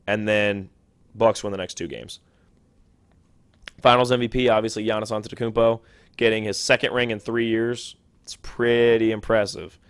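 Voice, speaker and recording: Young male podcast host, lowish voice, quick chatty delivery with self-corrections, dry close mic. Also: no room sound. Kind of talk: solo sports podcast talk.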